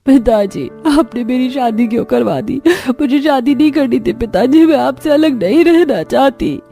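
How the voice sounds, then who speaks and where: A woman's voice: high-pitched, moderate pace, creaky, mellow, soft background music, pitched above the performer's own voice. A woman voicing an anxious, emotional young bride in a cartoon story, suspicious and pleading. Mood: tearful. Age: young adult.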